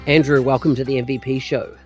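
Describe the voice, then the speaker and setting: A man's voice: mid-range voice, flat delivery, quick pace, a little mellow, husky, soft background music. A man hosting a podcast, reading an intro and chatting with a guest in a conversational way. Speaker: podcast host. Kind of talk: podcast interview.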